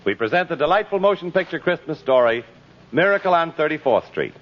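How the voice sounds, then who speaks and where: A man's voice: on the high side, big pitch swings, a little fast. A man announcing and narrating in a polished, dramatic, formal 1950s radio style. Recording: muffled 1950s radio recording with music. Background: music.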